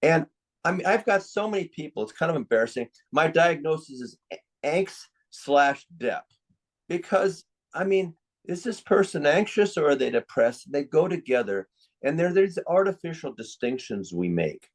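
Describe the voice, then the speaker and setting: A man's voice: fairly high pitch, sing-song, moderate pace. An older man speaking as a psychiatrist in an interview, giving his opinions in a reflective, conversational way.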